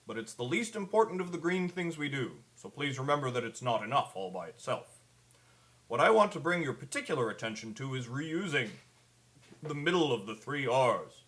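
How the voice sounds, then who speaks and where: A man's voice: mid-range voice, fairly bright tone. A man playing a kindly, concerned old Santa Claus, giving an earnest, pleading scripted public address. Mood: gentle, appealing. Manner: earnest, pleading storyteller delivery.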